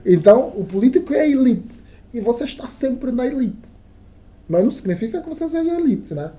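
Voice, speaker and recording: A man's voice: extremely high pitch, sing-song, a little fast, warm tone. A man from Angola whose first language is Kissolongo, speaking Angolan Portuguese as a journalist guest on a podcast, with many fillers and restarts and emphatic turns. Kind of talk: casual podcast interview.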